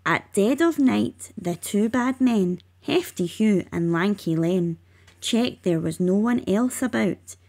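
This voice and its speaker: A woman reading rhyming children's narration aloud, expressively and with measured emphasis. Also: mid-range voice, expressive, vocal fry, darkish tone. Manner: measured, emphatic on sound words. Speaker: storybook reader.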